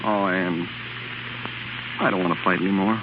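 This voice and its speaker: A man's voice: low-pitched, steady tone, normal speed, lightly acted. An American man acting in a 1930s radio comedy-drama as an agitated, stubborn young husband, stammering and insistent, on a muffled, noisy old recording. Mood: tender. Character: agitated, stubborn young husband pleading with his estranged wife.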